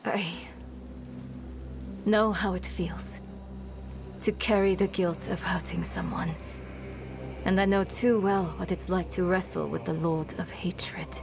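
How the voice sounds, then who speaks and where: Slow-speaking, earnest young woman fantasy scholar. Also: on the low side, steady tone, gravelly. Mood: grave, compassionate. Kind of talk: dramatic video game acting. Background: cinematic background music.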